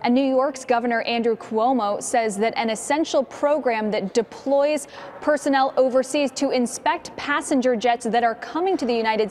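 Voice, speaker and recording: Higher-voiced American woman news correspondent. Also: slight background noise. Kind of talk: live TV news report.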